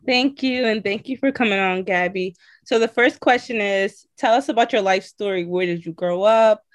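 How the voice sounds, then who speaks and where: A woman's voice: mid-range voice, normal speed. A woman co-hosting a podcast, putting questions to a guest in a straightforward way. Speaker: podcast co-host. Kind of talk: casual podcast interview.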